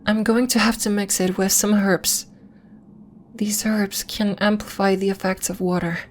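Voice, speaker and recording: A woman playing a gentle, earnest alien temple healer in an ASMR roleplay, speaking in a measured, formal, reassuring way. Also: medium pitch, level tone, slight background noise, lightly acted. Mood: calm, solemn. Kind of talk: slow, soft ASMR roleplay acting.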